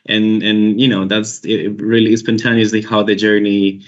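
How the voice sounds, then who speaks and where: A man's voice: on the low side, flat delivery, moderate pace. A young man from Costa Rica with a Costa Rican accent, a recruiter chatting casually on a video call, rambling with many restarts. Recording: dull lo-fi call audio.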